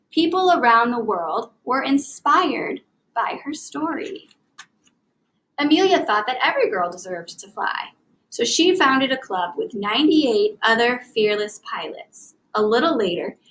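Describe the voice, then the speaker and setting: Female primary teacher, higher-pitched voice, expressive and clearly child-directed, for a classroom read-aloud lesson. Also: poor audio. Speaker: elementary reading teacher.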